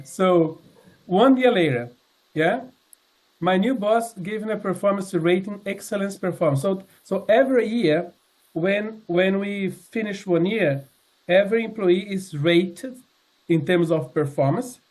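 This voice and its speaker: A man in his sixties giving a personal testimony to a congregation, speaking earnestly and haltingly, with many fillers and restarts. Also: high-pitched, a little mellow, rough recording. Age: 60s. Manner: earnest, sincere. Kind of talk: personal testimony talk with slides.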